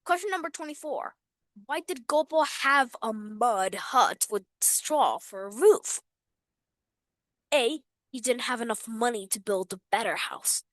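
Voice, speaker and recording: Slow, lively-voiced woman teacher. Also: a little high, no echo. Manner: chatty, instructing viewers. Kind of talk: reading quiz questions for a video lesson.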